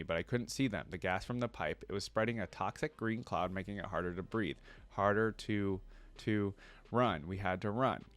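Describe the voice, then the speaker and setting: A man's voice: lowish voice, dry recording. A man hosting a reaction video, reading a story aloud and commenting casually as a fan. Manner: informal slangy fan talk.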